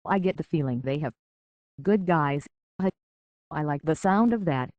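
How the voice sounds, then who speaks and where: A man's voice: a little high, lively intonation, moderate pace, dry recording, lightly acted. A man playing a world-weary, decent android detective in a video game, speaking in a wry, plainspoken, reflective way on slightly dull audio. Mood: wry, easygoing. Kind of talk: video game character dialogue.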